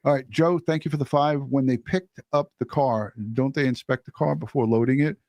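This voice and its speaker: A man co-hosts a livestream and reads viewer messages aloud in a blunt, offhand way. He swears freely. His voice is mid-pitched and recorded dry, with no room sound. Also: fairly even intonation, normal speed, darkish tone, dry recording. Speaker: livestream co-host. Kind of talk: casual chat reading viewer messages.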